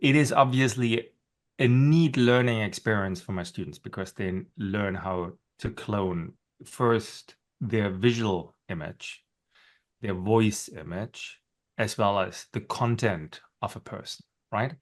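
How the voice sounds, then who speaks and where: A middle-aged man with a clear German accent talks through his ideas on a webinar panel from his office. His delivery is loose and conversational, with plenty of "right?" check-ins and the odd laugh at his own expense. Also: lowish voice, slow. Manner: explanatory, with frequent "right?" tags and self-deprecating laughs. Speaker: panelist and course instructor. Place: home office.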